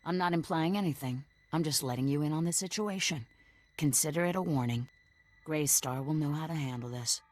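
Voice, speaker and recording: An actress playing a tough, wary underground cell leader in a video game, curt and commanding. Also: female voice, low-pitched, brisk. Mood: stern, businesslike.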